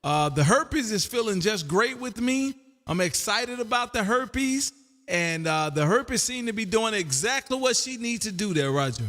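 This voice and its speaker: A man's voice: high-pitched, expressive, moderate pace, fairly bright tone, slight background noise. A man hosting a casual ham radio chat, talking in an exuberant, folksy, chatty way. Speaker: amateur radio livestream host and operator. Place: radio room at home, livestreamed. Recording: voice with long hall reverb.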